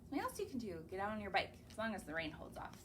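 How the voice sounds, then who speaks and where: A woman's voice: mid-range voice, wildly swooping pitch, fairly bright tone, slight background noise. A young woman, a children's librarian, reading picture books aloud to small children and coaxing them with questions. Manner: sing-song, slow, coaxing, asks the children questions. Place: library or home, recorded on camera.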